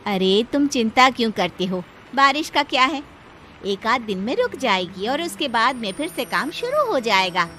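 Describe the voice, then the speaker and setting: A woman's voice: fairly high pitch, very animated, moderate pace, sharp tone, a little hiss or hum. A woman playing a poor, devoted wife who works as a housemaid, earnest and anxious, in a cartoon moral story. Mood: reassuring.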